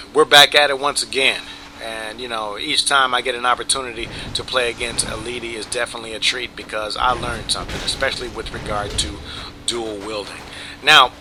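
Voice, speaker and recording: A man giving relaxed, rambling commentary over his gameplay, full of "you know" and "right?" fillers. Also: medium pitch, a little hiss or hum. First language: English.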